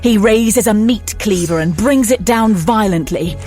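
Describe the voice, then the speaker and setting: A woman's voice: mid-range voice, unhurried, darkish tone, soft background music, close to an everyday voice. A woman narrating a gothic audio drama as a grave storyteller, in dramatic scripted style.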